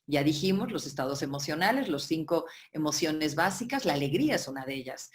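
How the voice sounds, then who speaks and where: Low-voiced woman giving a webinar lecture. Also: expressive, brisk. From Barcelona, Spain. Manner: explanatory, with frequent check-ins and filler "eh". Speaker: workshop facilitator.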